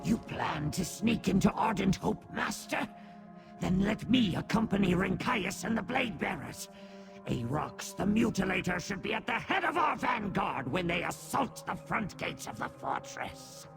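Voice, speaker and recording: A man's voice: sits high, sing-song, unhurried, vocal fry, over music, full character voice. A man voicing a small, eager, boastful creature servant in a fantasy video game, in a theatrical manner.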